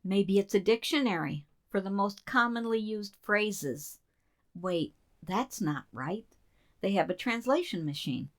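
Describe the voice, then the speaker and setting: Curious female colleague, medium pitch an actress. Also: expressive, unhurried, lightly acted. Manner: inquisitive, conversational. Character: curious, practical female colleague wondering aloud. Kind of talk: radio theater acting.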